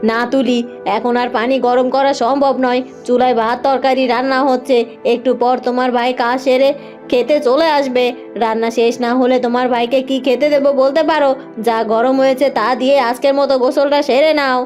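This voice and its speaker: A woman's voice: high voice, level tone, brisk, darkish tone, soft background music, close to an everyday voice. A woman voicing a meek, dutiful young village wife in a cartoon, pleading and deferential. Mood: pleading, apologetic. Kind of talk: cartoon voice acting.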